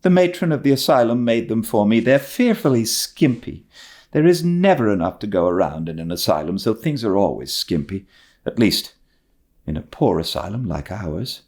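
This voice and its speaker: A man's voice: lowish voice, sing-song, moderate pace, darkish tone, pitched above the performer's own voice. A man voicing a talkative, wistful young orphan girl with an earnest, theatrical manner, in an audiobook story. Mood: earnest, chatty.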